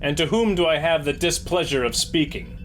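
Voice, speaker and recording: A man's voice: a little high, fairly quick, a bit bright, slight background noise, voice pushed up, natural voice. A man as a haughty, defiant starship captain. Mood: haughty challenge. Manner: formal, haughty, confrontational.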